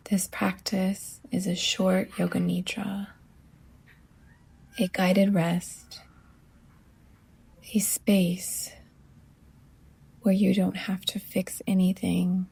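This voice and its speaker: A woman guiding a relaxation meditation as a yoga teacher, in a hushed, breathy near-whisper, gently and with long pauses between phrases. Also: medium pitch, flat delivery.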